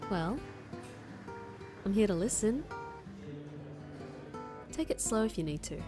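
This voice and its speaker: A woman's voice: mid-range voice, expressive, slow, over music. An actress playing a perceptive woman in an audio drama, sincere and laid-back with a teasing edge. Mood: sincere, curious. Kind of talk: acted audio drama dialogue.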